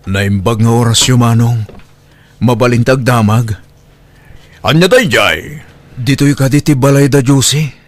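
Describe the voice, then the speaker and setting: A man's voice: mid-range voice, measured pace, pauses often, lightly acted. A humble young single dad in a Filipino radio-style drama acted by a man, speaking with an Ilocano accent. He sounds troubled and pleading, with a hesitant delivery in an ordinary male register. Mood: polite, confused. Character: distressed young single father, humble, abandoned by his partner. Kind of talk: Filipino audio drama acting.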